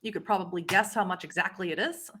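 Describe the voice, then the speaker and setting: Female game master for tabletop roleplay, slightly low register, forward bright tone. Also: fast, a little hiss or hum.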